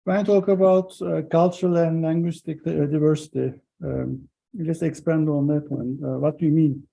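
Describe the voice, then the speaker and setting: A man interviewing an academic, asking questions haltingly with many fillers. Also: on the high side, fairly even intonation, creaky, warm tone, poor audio.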